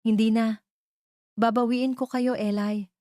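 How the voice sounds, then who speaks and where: A woman's voice: a little high, level tone, unhurried, warm tone, no room sound, studio quality, voice pushed up, lightly acted. A woman voicing an anguished, determined young man in love in an audio drama, emotional and insistent. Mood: fierce resolve.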